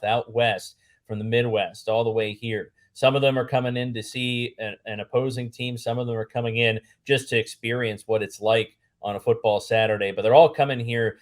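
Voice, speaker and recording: A man from Pennsylvania answering conversationally as an interview guest, earnest and fond of lists and repeated phrases. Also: medium pitch. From Pennsylvania, United States. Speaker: public address announcer and podcast guest.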